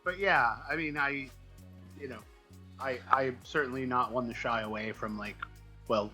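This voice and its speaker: A man speaking as a guest writer in a casual interview, answering in a hesitant, halting way with trailing asides. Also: medium pitch, pushed and bright, soft background music. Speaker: comic book writer, guest.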